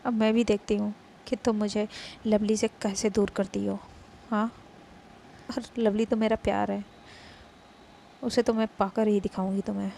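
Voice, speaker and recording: A woman voicing a jealous young woman rival, making loud, defiant threats. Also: mid-range voice, flat delivery, moderate pace, gravelly, long pauses, slight background noise, close to an everyday voice.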